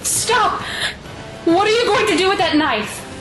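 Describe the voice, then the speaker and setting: High-pitched anguished mother played by a woman. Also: expressive, unhurried, loud music behind the voice, poor audio. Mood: pleading. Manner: emotional, pleading. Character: anguished, guilt-ridden mother fearing for her son. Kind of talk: audio drama acting.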